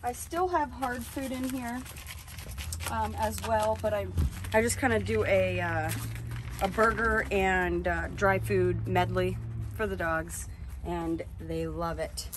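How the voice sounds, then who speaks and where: A young woman vlogging at a campsite, talking in a chatty, excitable way with plenty of fillers. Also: mid-range voice, slow, noisy background. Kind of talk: casual camping vlog. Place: outdoor campsite beside a camper trailer. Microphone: camera on a tripod a few feet away.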